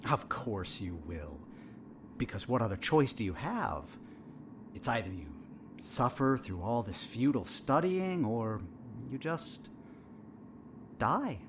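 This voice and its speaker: A man playing a sinister, smug therapist in a horror audio drama, measured and theatrical, with a falsely soothing, condescending manner. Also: mid-range voice, sing-song, noisy background. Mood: probing, needling.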